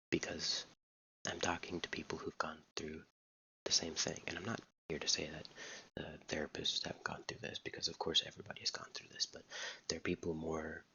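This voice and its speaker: A young man in his late teens talking softly, almost whispering, with a halting way of repeating words, recorded close to the mic in his dorm room. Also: extremely low pitch, vocal fry, lo-fi sound. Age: young adult. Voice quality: soft, near-whispering voice. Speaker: vlogger. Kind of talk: soft-spoken personal vlog. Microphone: close mic, wet mouth sounds.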